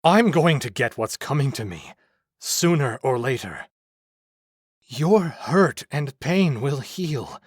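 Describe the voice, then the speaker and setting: An actor playing a gentle, devoted man under a werewolf curse in a dramatic visual novel, earnest and solemn, with music underneath. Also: mid-range voice, sing-song, slow pace, husky, no room sound, studio quality, exaggerated voice. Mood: resigned, tender.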